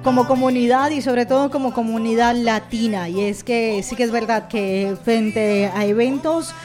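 A Colombian woman hosting a live radio show and giving news updates in a halting, hesitant way with drawn-out pauses. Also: on the high side, fairly even intonation, a little mellow. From Colombia, Cali. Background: music behind the voice.

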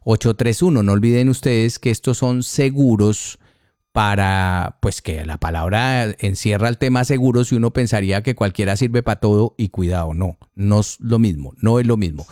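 A man hosting a live radio talk show, conversational, repeating details slowly and deliberately. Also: fairly low pitch, darkish tone, no room sound, studio quality. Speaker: radio host. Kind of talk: live radio talk with read-out sponsor spots. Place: radio studio.

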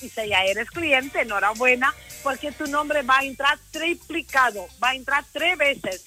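Bright, high-voiced effusive Portuguese woman. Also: a little slow, faint music behind. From Lisbon, Portugal. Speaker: mattress brand spokesperson promoting a giveaway. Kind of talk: live radio promotion.

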